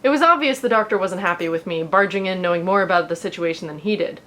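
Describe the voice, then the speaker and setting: A woman reading fan fiction aloud in an expressive, informal way, slipping into casual, self-mocking asides. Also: lowish voice, fast talker. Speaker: fan fiction reader on a comedy show.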